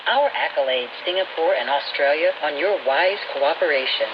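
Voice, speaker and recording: A news reader delivering formal, measured scripted narration over shortwave radio. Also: medium pitch. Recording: noisy, dull lo-fi shortwave radio. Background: clear radio noise.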